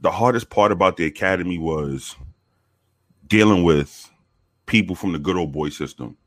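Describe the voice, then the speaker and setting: Very deep-voiced man from the Bronx. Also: normal speed, dry recording, studio quality. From the Bronx, New York. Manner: profane, animated storytelling with many restarts. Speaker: guest, former police officer. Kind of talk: casual storytelling interview.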